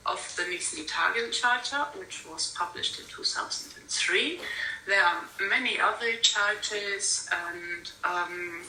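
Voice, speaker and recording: A woman's voice: medium pitch, sharp tone, a little hiss or hum. A woman who is not a native English speaker, giving an academic conference presentation over a remote call, hesitant with many ums and uhs. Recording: roomy, distant mic, faint ambience.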